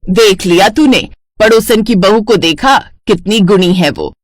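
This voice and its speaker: A woman's voice: medium pitch, unhurried, no echo, clean studio sound, lightly acted. A woman voicing a complaining older mother-in-law in a cartoon family story. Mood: scolding. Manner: complaining, nagging. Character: scolding older mother-in-law, grudging and quick to praise others.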